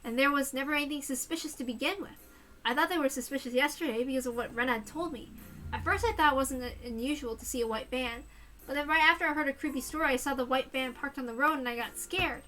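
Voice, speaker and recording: A woman voicing a teenage boy narrator who broods and then berates himself, her voice hoarse. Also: high-pitched, fast, soft background music. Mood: brooding. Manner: swings from musing to shouting at himself. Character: teenage boy narrator, jumpy and self-blaming.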